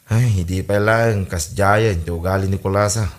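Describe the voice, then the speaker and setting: A man's voice: deep voice, fairly even intonation, moderate pace, close to an everyday voice. A man with a Filipino accent acting an easygoing, placating farmer husband in a podcast comedy drama.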